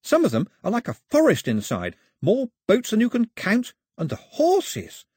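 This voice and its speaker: A man acts out a cheerful, talkative young male islander from a storybook. The voice jumps high and swings about eagerly, explaining everything with emphasis, recorded close and dry. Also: wildly swooping pitch, normal speed, darkish tone, slightly rough, no room sound, exaggerated voice. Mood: enthusiastic. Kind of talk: storybook character dialogue.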